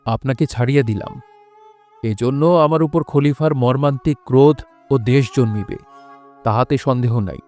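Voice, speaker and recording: Man acting as humble loyal courtier, mellow mid-pitched voice, formal, deliberate, music underneath. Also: lightly acted. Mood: earnest, insistent. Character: noble, loyal, humbly pleading male courtier. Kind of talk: Bengali audio drama acting.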